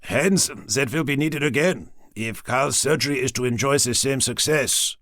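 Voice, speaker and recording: A man voicing a sinister male professor, measured and ominous. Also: mid-range voice, a bit bright, dry recording, clean studio sound, natural voice.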